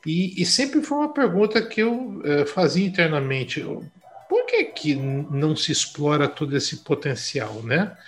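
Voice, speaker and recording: A man's voice: on the high side, big pitch swings, a little slow. A Brazilian man in an interview, talking reflectively with many hesitations and fillers. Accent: Brazilian Portuguese. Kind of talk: conversational interview.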